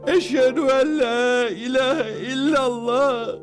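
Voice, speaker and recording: An actor playing a humbled litigant in an animated film, earnest and emotional. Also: male voice, very high voice, slow, over music. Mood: moved, pleading. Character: humbled, pleading litigant converting in awe.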